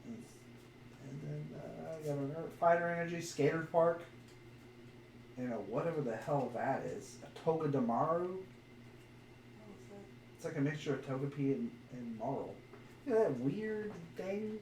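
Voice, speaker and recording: Male podcast co-host, casual and jokey, lightly higher pitch, echoey room with faint noise. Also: animated, normal speed, long pauses, a little mellow. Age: adult, parent of a young son. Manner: jokey, crude, plays with words. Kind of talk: casual chat while opening trading card packs. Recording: roomy echo, off-mic, faint noise.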